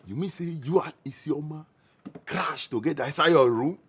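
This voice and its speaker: An actor with a Nigerian Pidgin accent playing a young man who teases his friend with excitable exclamations. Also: a little high, moderate pace, slightly rough. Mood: teasing.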